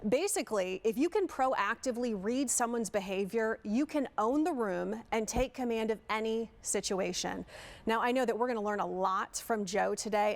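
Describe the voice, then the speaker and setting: A woman hosting an interview show, reading an introduction and then chatting in a friendly, talkative way. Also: fairly high pitch. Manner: friendly, chatty, laughs easily. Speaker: host. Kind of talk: scripted intro, then casual interview chat.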